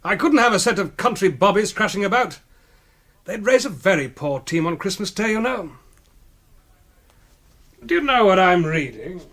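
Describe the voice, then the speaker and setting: An actor playing a suave, faintly menacing English gentleman in a radio drama, polite and drily teasing. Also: high-pitched, lively intonation, moderate pace, long pauses. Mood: urbane, teasing. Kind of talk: British radio drama acting.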